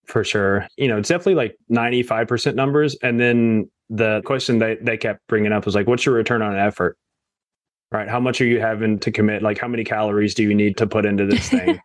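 Low-voiced man in a dry podcast interview. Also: fairly even intonation, fast, no room sound. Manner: conversational, frequent "you know" and "right?" fillers. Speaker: real estate investor guest.

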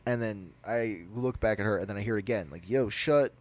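A young man with a naturally loud voice, telling a personal story on a podcast in a rambling, animated way. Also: fairly low pitch, quick pace. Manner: animated, rambling, with frequent restarts. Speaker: podcast co-host. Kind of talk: casual storytelling.

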